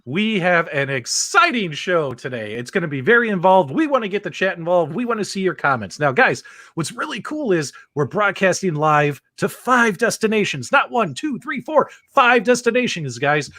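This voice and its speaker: An energetic man hosting a live podcast, his voice jumping up and down in pitch as he talks up the show. He sits a little higher than most men, and the sound is dry and close. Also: moderate pace, dry recording. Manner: energetic, upbeat, hypes the audience. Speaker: host.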